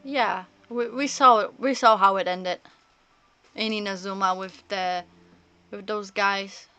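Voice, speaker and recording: A woman streamer with a medium-pitched voice talks casually over a game. She is chatty and reacts aloud in loose, conversational phrases. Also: steady tone, takes it slowly, pushed and bright, clean tone.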